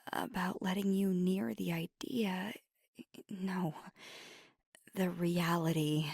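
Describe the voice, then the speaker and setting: A woman voicing a gentle, remorseful vampire girlfriend in an intimate ASMR roleplay, speaking in a halting, breathy whisper close to the microphone. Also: fairly low pitch, no echo, full character voice. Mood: guilty, fearful. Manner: halting, hushed, mostly whispered confession. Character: gentle, remorseful female vampire girlfriend, anxious, tender confessor. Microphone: close, dry microphone.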